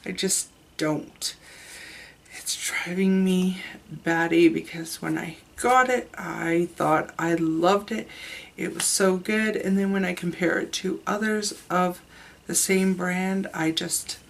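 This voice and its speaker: A woman's voice: fairly low pitch, fairly even intonation, slow pace, vocal fry. A woman with a stuffed-up, sick voice, chatting candidly to camera about her makeup. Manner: chatty, candid, trails off and restarts. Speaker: beauty vlogger. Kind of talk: casual makeup review to camera.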